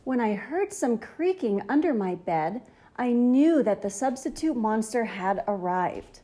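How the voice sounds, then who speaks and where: A woman's voice: on the high side, very animated, a little slow, warm tone. A woman reading a children's story aloud in an enthusiastic, dramatic narrating style. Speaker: storybook reader.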